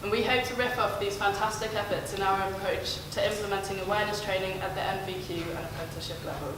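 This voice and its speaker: A woman reads out a formal prepared speech in a steady, measured way. She sounds far from the mic, in a large hall with a long echo. Clear background noise sits under her voice. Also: mid-range voice. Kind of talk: prepared speech read aloud. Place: large hall, audience present. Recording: distant, echoey hall with noise.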